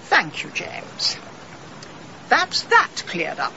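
A woman's voice: lowish voice, wildly swooping pitch, very slow, creaky, sharp tone, a little hiss or hum, heavily acted. An actress playing a blunt, haughty, middle-aged English woman detective in a radio drama, with a sardonic, brusque manner. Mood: curt, wounded. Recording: muffled radio drama, audible background ambience.

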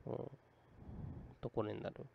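A man's voice: a little slow, highs cut off, slight background noise. A man giving casual commentary as he thinks aloud through a game.

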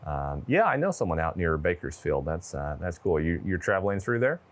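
A young man with a fairly low voice presents a radio demo. He talks casually, as if chatting to a fellow hobbyist, close on a lapel mic indoors. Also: very animated, fairly quick. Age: 20s. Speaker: presenter demonstrating ham radio gear. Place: indoor studio with wood-panel backdrop.

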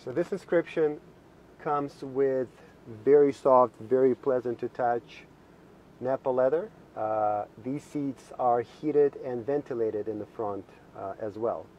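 A man's voice: mid-range voice, takes it slowly, a little mellow, dull audio, slight background noise. A man working as a car dealership product specialist, walking viewers through a car's features from inside it, with plenty of ums and restarts. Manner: explains features with frequent fillers and restarts. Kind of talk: car walkthrough video. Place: inside a car in a parking lot.

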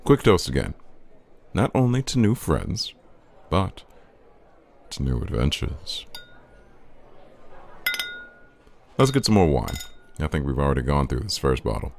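Very deep, suave flirtatious man played by an actor. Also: big pitch swings, moderate pace, slight background noise, heavily acted. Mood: genial, sociable.

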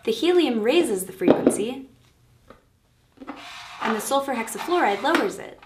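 A woman in her twenties giving a scripted educational explanation as a science presenter, in a measured demonstration delivery. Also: on the high side, animated. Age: 20s. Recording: echoey room with background noise.

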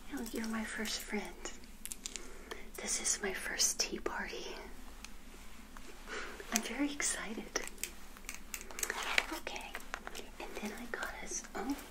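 An older woman plays a friendly, eager witch hosting a guest in an ASMR roleplay. She speaks in a close, hushed whisper and takes her time. Her manner is gentle and naive, with phrases softly repeated. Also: a little high, poor audio. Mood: excited, confiding, then delighted, gentle.

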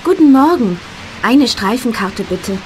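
A woman's voice: on the high side, expressive, a little slow, darkish tone, a little hiss or hum, low-quality recording. A woman voicing a polite young language student in a scripted learner dialogue.

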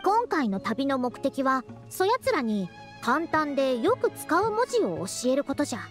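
A woman's voice: high-pitched, very animated, normal speed, soft background music. A woman voicing a proud, youthful-sounding scholar in a Japanese video game, talking in a self-important yet caring way with old-fashioned elder's phrasing. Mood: earnest, caring. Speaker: scholar and teacher. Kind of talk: Japanese anime-style video game voice acting.

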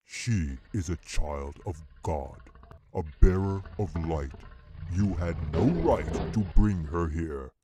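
A man voicing a huge, stern male bear king with a deep, rumbling voice, speaking slowly and solemnly in a storybook reading. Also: noisy background. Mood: indignant. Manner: slow, solemn and commanding.

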